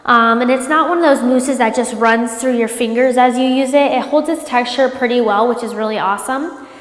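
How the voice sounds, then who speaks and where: A woman's voice: fairly high pitch, fairly quick. A woman reviewing a product in a casual vlog, sounding congested from a cold and chatting with lots of ums. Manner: chatty, many ums, upbeat. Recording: echoey room with long reverb.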